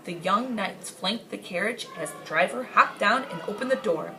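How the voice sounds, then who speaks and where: A woman with a medium-pitched voice reads a children's story aloud. Her intonation is lively and expressive, moving widely through the narration. There is clear noise in the recording. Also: normal speed. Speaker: story reader. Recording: clearly noisy amateur recording.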